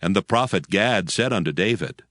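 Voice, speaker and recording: A man narrating scripture from a script in a solemn, measured way. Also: deep voice, expressive, a bit bright, no echo, clean studio sound.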